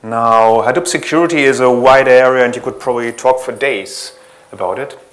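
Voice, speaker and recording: A man from Germany with a German accent, presenting a technical conference talk in an earnest, explanatory way, pausing often to correct himself. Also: mid-range voice, a bit bright, slight background noise. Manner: earnest, explanatory, with frequent ums and self-corrections. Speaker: conference speaker.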